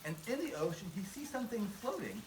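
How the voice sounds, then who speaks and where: A woman tells a story to a group with lively, swooping intonation, casual and playful, poking fun at herself as she goes. She stands away from the microphone in an echoey space, with a loud crowd around her. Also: medium pitch, fast. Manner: casual, playful, self-deprecating, prompts listeners to join in. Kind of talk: interactive live storytelling for a group with audience participation. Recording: echoey, far from mic, noisy crowd. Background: crowd of listeners calling out.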